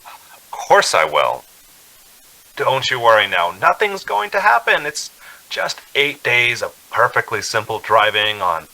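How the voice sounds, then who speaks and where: A man's voice: mid-range voice, bright, forceful tone, full character voice, rough recording. An actor playing an uneasy young man on a road trip in a suspense radio drama. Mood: reassuring, light. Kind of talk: radio drama acting, first-person suspense narration.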